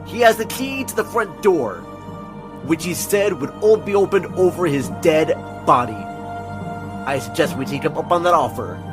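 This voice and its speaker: Man acting a higher-voiced dramatic schemer, music behind. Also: animated, moderate pace, close to an everyday voice. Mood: menacing, persuasive. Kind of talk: audio drama acting and scene narration. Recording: music bed under dialogue.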